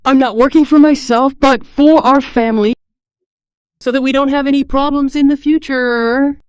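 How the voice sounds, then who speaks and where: A woman's voice: high voice, fairly even intonation, moderate pace, no echo, voice pushed up, natural voice. A woman voicing a hardworking, dutiful young married woman, weary but patient, speaking in an earnest, pleading way.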